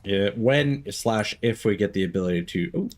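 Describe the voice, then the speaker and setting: A man with a fairly low voice streams a video game. His pitch moves around a great deal as he comments and reads game text aloud. He is playful, with a taste for quips and wordplay. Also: animated, moderate pace.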